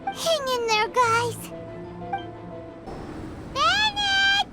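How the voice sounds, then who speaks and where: Tiny chirpy video game companion: squeaky child voice, very animated, slow-paced, caring. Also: female voice, over loud music, full character voice. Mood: excited. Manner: talks about self in third person, squeaky and childlike. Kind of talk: English video game voice acting.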